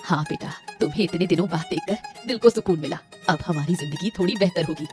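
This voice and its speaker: A woman's voice: on the low side, quick pace, music in the background, natural voice. A woman voicing a proud, controlling middle-aged mother of a rich household in a cartoon story, doting yet firm. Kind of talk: Hindi cartoon story dialogue.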